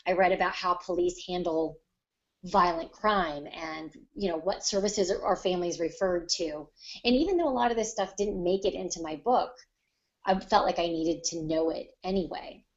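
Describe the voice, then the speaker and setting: A woman's voice: lowish voice, moderate pace, highs cut off. A woman writer on a podcast panel, explaining her craft conversationally and in detail with examples.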